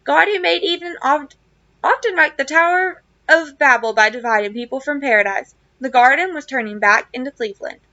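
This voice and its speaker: A woman reading aloud to children like a teacher, with expressive phrasing and clear emphasis. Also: high-pitched, fairly quick, no room sound, highs cut off. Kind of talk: read-aloud of a children's novel with casual asides.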